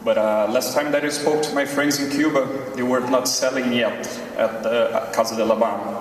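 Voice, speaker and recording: A man with a Brazilian accent asks his question from the audience in a nervous, hesitant way. His mid-pitched voice carries from a distance into a large hall with heavy echo. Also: fairly even intonation, a little fast. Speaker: audience member asking a question. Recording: echoey hall audio from far off.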